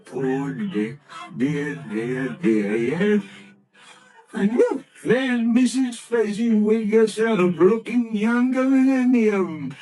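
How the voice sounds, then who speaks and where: A shaky old miser for radio drama, drawn out, halting, keeps repeating himself. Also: male voice, sits high, mellow, poor audio. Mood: delighted. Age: older. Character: old male miser, remorseful and nostalgic. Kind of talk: vintage radio drama acting.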